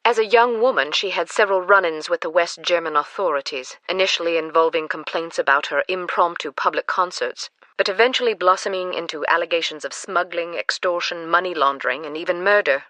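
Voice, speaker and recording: Cool, wry young spy, dry sound. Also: female voice, lowish voice, fairly quick, few pauses, pushed and bright, dry recording. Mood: matter-of-fact briefing. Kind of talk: video game character dialogue.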